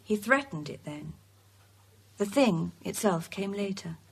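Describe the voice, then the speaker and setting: An actress with a British accent playing a grave, articulate widow in a radio drama. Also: female voice, mid-range voice, expressive, unhurried, vocal fry, slightly rough, full character voice. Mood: grave, troubled. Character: grave, articulate widow recounting her husband's cursing.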